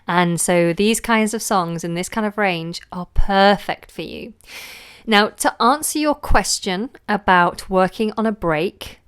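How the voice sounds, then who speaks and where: A woman vocal coach giving chatty live feedback, with a low voice and a lingering cold. She talks loosely, with fillers and lost words. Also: unhurried, fairly bright tone, clear, resonant tone. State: recovering from a cold. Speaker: singing teacher.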